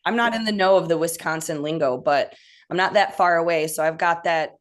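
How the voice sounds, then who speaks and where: Low-voiced Midwestern woman, laid-back. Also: a little fast. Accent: Midwestern American. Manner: laid-back, joking, self-deprecating. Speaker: band member, guest. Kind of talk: casual interview chat.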